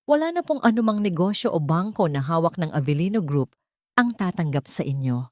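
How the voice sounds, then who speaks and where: A woman's voice: fairly low pitch, big pitch swings, fairly quick, a little mellow, dry recording, clean studio sound. A woman voicing a cold, unsympathetic secretary who speaks formally and impassively in a narrated drama.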